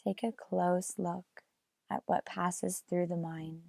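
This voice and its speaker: Slow, creaky-voiced woman guiding meditation. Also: fairly low pitch, little pitch movement, darkish tone, no room sound, clean studio sound. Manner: measured, with long pauses between phrases. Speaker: meditation guide.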